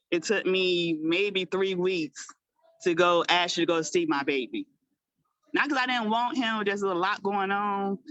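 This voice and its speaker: A woman's voice: fairly low pitch, fairly bright tone, no echo, muffled recording. A woman in her thirties, a guest mother speaking in a candid, rambling, heartfelt way. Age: 30s. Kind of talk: personal storytelling.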